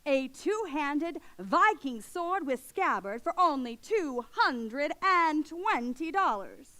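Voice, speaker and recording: A woman's voice: high voice, sing-song, slow, bright, forceful tone, exaggerated voice. A woman playing a playful, folksy announcer promoting items on a 1940s radio show. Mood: playful, promotional.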